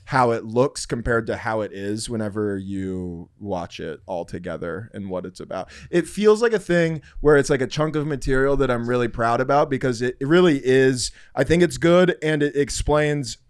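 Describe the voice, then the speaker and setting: A man's voice: medium pitch, normal speed, hardly any pauses, clear, resonant tone, no echo, clean studio sound. A man with an American accent and a somewhat effeminate way of talking, chatting casually as a comedian guest on a podcast. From Oklahoma City, United States. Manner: self-described effeminate way of talking.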